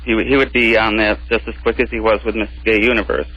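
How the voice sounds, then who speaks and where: A man's voice: fairly low pitch, flat delivery, fast talker, bright, forceful tone, no room sound. A man chatting casually as a guest on a radio show. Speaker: interview guest. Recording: dull lo-fi radio recording.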